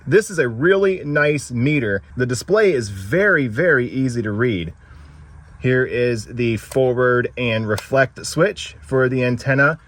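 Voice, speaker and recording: A man with a mid-range voice presents a product review. He talks casually, as the host of his own video. His delivery is plain-spoken and explanatory, walking the listener through things step by step. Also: medium pitch. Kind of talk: casual unboxing and review narration.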